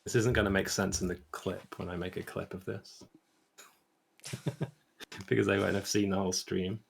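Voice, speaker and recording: Guy co-hosting a stream, heavy vocal fry, bit husky, low-key casual chat. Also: little pitch movement, moderate pace.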